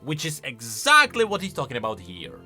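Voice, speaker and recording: Animated, bright-voiced male gaming commentator. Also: medium pitch, brisk, soft background music. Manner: animated, questioning, conversational. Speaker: video host, game lore commentator. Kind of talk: casual reaction commentary. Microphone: close studio-style microphone.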